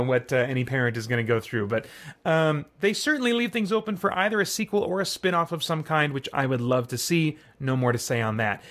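A man's voice: medium pitch, a bit bright. A middle-aged man hosting a podcast, giving a casual review in a conversational way, thinking aloud as he goes. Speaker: podcast host and film reviewer. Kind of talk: casual, semi-improvised movie review.

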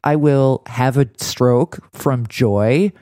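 Dry woman with a mellow, close voice. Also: a bass voice, extremely slow, no echo. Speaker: podcast co-host. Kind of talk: casual TV recap chat.

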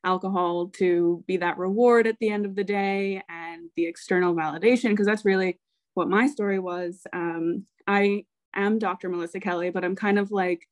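A woman in her thirties with an American accent, a coach speaking as a podcast guest in a relaxed, candid way and laughing easily. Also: mid-range voice, normal speed, no room sound. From United States. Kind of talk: interview conversation.

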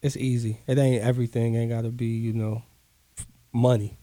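A man's voice: mid-range voice, flat delivery, fairly quick, mellow, no echo. A man, talking earnestly in a casual interview, often repeating himself for emphasis. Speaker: guest artist.

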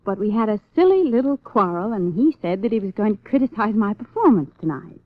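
A woman's voice: medium pitch, big pitch swings, brisk, no room sound. A woman playing a coy, anxious stage actress with a hesitant, genteel American delivery in 1950s radio drama. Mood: pleading. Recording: tinny, narrow-band 1950s radio recording.

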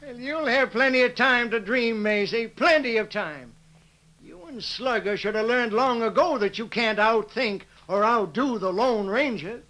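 An actor playing a stern, folksy old-West sheriff with emphatic frontier talk in a 1950s radio drama. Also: male voice, very high voice, unhurried, pushed and bright, heavily acted, poor audio. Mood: gloating. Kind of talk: 1950s radio western acting. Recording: 1950s radio recording, busy background.

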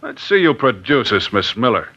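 A man's voice: medium pitch, very animated, moderate pace, sharp tone, slightly rough, full character voice. An actor playing a surly male suspect who answers questions with defiance and evasion. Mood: defiant. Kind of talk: 1950s radio crime drama acting. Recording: dull old radio broadcast recording.

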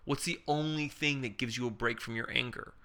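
A man co-hosting an improv podcast, explaining character work casually with quick made-up examples and rhetorical questions. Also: medium pitch, bright, forceful tone. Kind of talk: casual instructional chat.

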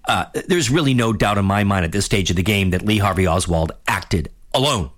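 A man of fifty hosting a radio talk show, giving a blunt, rambling opinion monologue with many restarts, his voice getting hoarse. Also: fairly low pitch, animated, brisk, a bit bright. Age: 50s. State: losing his voice.

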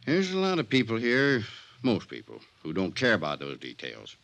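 An actor playing a folksy, wary older small-town sheriff who drawls deliberately in a 1950s radio drama. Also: male voice, fairly low pitch, wildly swooping pitch, gravelly, a bit bright, exaggerated voice. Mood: wary, warning.

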